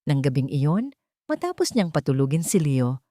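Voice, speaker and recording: A woman's voice: deep voice, very animated, moderate pace, dark tone, dry recording. A woman narrator reading a scripted romantic drama story with dramatic delivery.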